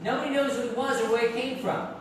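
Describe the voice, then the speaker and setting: A man's voice: very high voice, steady tone, fast talker. A man playing an eager soap opera star, a casual talk-show guest telling ghost lore matter-of-factly in a radio play. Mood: intrigued. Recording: echoey theatre from far off, faint noise.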